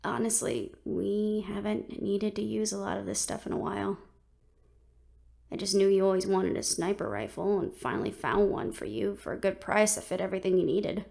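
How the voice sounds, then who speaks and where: Woman acting a creaky-voiced, teasing fantasy adventurer. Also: mid-range voice, moderate pace, a little mellow, dry recording, clean studio sound. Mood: teasing, fond. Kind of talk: audio roleplay acting.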